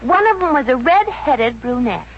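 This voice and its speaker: An actress playing a sardonic, quick-witted American working girl in a 1950s radio comedy. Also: high voice, big pitch swings. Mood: glib, bluffing. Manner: sardonic, breezy wisecracking. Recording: muffled, hissy old radio recording.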